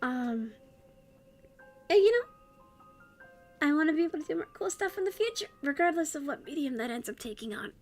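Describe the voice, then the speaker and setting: High bright gal streaming from her desk, rambly, keeps restarting, voice getting hoarse, faint music behind. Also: very animated, a little fast, pauses often. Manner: rambling, self-interrupting, many restarts. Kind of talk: casual livestream chat.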